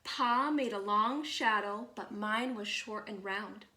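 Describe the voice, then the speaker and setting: A woman reading a children's picture book aloud as a storyteller, expressive and measured, with deliberate pauses. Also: medium pitch, roomy sound.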